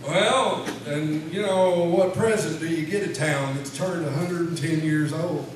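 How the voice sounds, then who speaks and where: Man playing Henry, a slow-witted folksy rambler, echoey. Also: a little high, lightly acted. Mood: folksy, earnest. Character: Henry, slow-witted folksy small-town man, earnestly literal-minded. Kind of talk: comic radio-show sketch before a live audience. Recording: echoey noisy hall, distant microphone.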